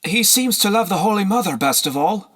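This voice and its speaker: A man's voice: sits high, lively intonation, fairly quick, a bit bright, pitched above the performer's own voice. A man reading a storybook, playing a humble, guarded monastery slave who answers carefully and with deference.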